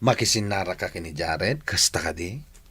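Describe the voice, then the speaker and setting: A man's voice: sits low, expressive, normal speed, sharp tone, breathy edge, heavily acted. A man with an Ilocano accent playing a jealous, stingy, grumbling village husband in a radio comedy drama. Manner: scolding, suspicious.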